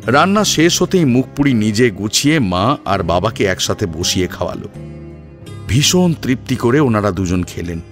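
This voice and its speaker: Expressive male story narrator. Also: lowish voice, moderate pace, faint music behind. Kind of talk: scripted dramatic story narration.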